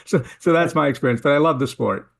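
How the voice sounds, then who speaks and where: A man hosting a casual interview, friendly and halting with frequent restarts. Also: on the high side, gravelly, fairly bright tone. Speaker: show host.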